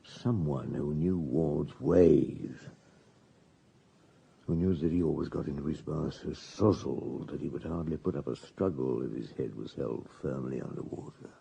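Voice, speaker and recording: A shrewd police superintendent of middle age in a radio drama. The voice is low, gravelly and very mellow. He moves between terse, authoritative questioning and slow private musing. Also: slight background noise, exaggerated voice. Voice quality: gruff, husky. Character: shrewd, unhurried police superintendent on a murder case.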